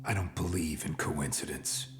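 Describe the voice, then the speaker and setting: A man playing a stern, skeptical interrogator in a video game cutscene, questioning tersely and in a low-key way. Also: normal speed, soft background music. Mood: probing. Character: stern, skeptical male interrogator debriefing soldiers.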